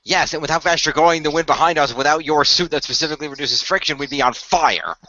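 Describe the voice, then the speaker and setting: A man's voice: fairly high pitch, quick pace, pushed and bright, no room sound. A man hosting an online radio play, chatting casually and explaining things at length over a voice call. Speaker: co-creator and host of an improvised radio play. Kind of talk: casual post-game chat. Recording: slightly muffled voice-chat audio.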